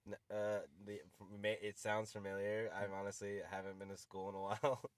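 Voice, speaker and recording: A man's voice: on the low side, completely flat delivery, brisk, no echo. A man co-hosting a casual podcast, crude, swearing and laughing often. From United States. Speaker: podcast co-host. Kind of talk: casual chat.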